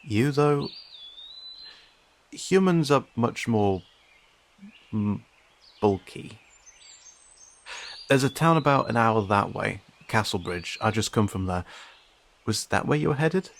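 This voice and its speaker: A man playing a friendly young cat-person in an audio roleplay, talking informally and hesitantly to a silent listener, with gentle questions and dry teasing. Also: on the low side, lively intonation, slow, gravelly, long pauses, full character voice.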